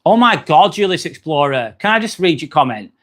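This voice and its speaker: A man with an accent close to Manchester hosts a relaxed livestream, chatting easily with his viewers. His voice sits a touch higher than most men's, and he often fills pauses with a quick "um". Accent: northern English, near Manchester. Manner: chatty, friendly, frequent "um" fillers. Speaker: livestream host. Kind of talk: casual livestream chat reading comments.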